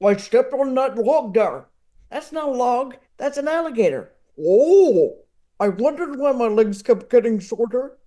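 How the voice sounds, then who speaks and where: Radio comedy dimwit and straight man voiced by a woman, silly, raised pitch. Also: expressive, a little slow, warm tone. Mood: goofy, baffled. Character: dim-witted comic radio fool and his questioner.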